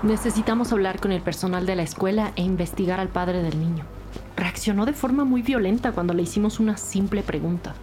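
Audio drama role: firm procedural investigator, played by a woman, controlled and formal, average pitch, clear music bed. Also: a little fast, mellow, natural voice. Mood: firm, businesslike.

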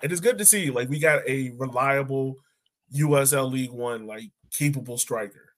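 A man's voice: mid-range voice, normal speed, a bit bright. Man hosting a casual sports podcast. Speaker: podcast host.

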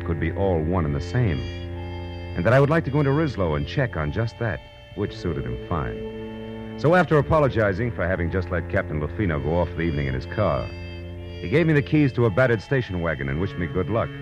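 Deep-voiced hard-boiled private eye. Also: male voice, big pitch swings, a little fast, lo-fi sound. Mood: hard-boiled narrating. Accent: American. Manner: sardonic, slangy, tough-talking. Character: hard-boiled male private detective, wry and tough. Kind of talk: 1940s radio acting, with first-person narration. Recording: old radio recording, faint music.

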